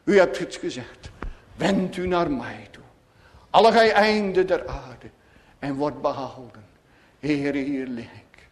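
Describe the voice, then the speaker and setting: A man preaching a sermon, solemn and pleading, swinging dramatically from hushed words to crying out. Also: a little high, slow. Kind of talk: emotional Reformed church sermon. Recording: long church-like hall reverb.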